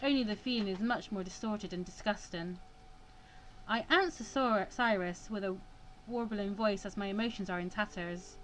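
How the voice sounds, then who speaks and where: Woman doing a lets play read-aloud, expressive n casual, medium pitch, bit of noise behind. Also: moderate pace, poor audio. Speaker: let's player reading a visual novel aloud.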